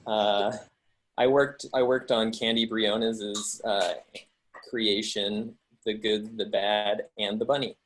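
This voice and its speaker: A man who works as an animator and musician, answering panel questions over a video call in a halting, hesitant way. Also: lowish voice, level tone. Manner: halting, hesitant, self-correcting.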